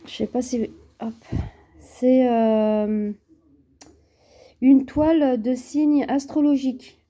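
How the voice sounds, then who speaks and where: A woman's voice: high voice, flat delivery, slow pace, dark tone. A woman with a cold, chatting casually and hesitantly to camera at home while unboxing a craft kit, with many fillers and restarts. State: has a cold. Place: at home, at a table.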